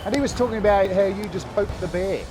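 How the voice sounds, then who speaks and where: A man's voice: high voice, fast talker, music in the background. A man interviewing someone in a casual, teasing, matey way.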